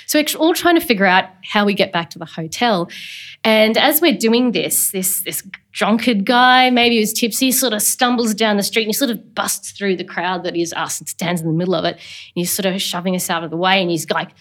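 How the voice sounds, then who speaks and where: A woman's voice: mid-range voice, fairly quick, a bit bright. A woman hosting a conversational podcast, telling stories reflectively with small restarts. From Australia. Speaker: podcast host.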